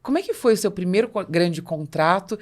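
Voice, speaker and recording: A Brazilian woman hosting a podcast interview, telling personal anecdotes in an animated way and acting out dialogue. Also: lowish voice, a little fast. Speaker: podcast host and business coach.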